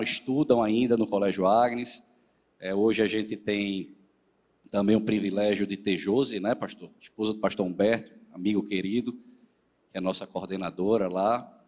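A man, a presbyter leading a church service, a little nasal and hoarse from a cold, speaking earnestly to the congregation. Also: on the low side, a little mellow, big-room sound. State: still a bit hoarse after the flu. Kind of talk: sermon with scripture reading.

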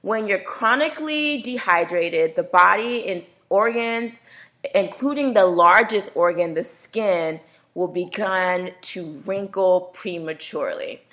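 A woman's voice: mid-range voice, a little slow, fairly bright tone. A woman hosting a health radio talk show, talking casually and chatting with a laugh in her voice.

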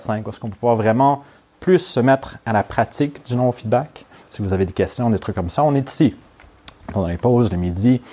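A young man from Montreal with a Québécois French accent opens a training course for a group of learners. He talks in an easy, chatty way at a middling pitch and stops often to check that the class follows him. English is his first language. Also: dark tone. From Montreal, Quebec, Canada. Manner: chatty and informal, with quick laughs and "ok?" checks. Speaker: neurofeedback trainer.

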